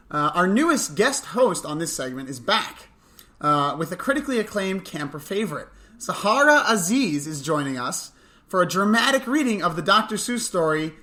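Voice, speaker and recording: A woman's voice: fairly low pitch, fairly bright tone. A woman hosting a casual interview show with playful, mock-grand announcements. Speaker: interview host.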